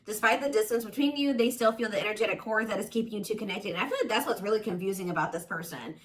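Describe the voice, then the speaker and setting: A woman's voice: medium pitch, rapid-fire. A woman giving a casual, intuitive tarot reading to viewers, speaking informally and with emphatic assurance. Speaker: tarot reader, host.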